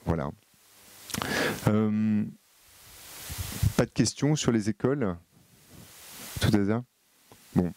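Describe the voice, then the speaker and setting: A man's voice: on the low side, animated, slow pace, pauses often, warm tone, slight background noise, low-quality recording. A man with a French accent giving a live talk to an audience, hesitant and full of fillers and repeated words. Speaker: conference speaker.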